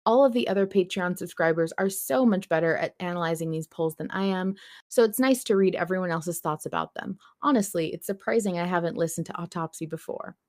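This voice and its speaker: A woman's voice: fairly low pitch, brisk, darkish tone. A woman podcast host chatting casually and reading out listener messages.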